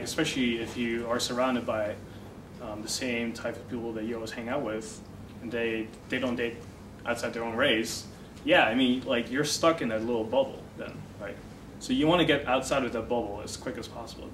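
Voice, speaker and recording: A young man who grew up in the Netherlands, a dating coach, explaining ideas in a relaxed interview with many fillers. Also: medium pitch. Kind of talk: casual interview talk. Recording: echoey room from far off, noisy.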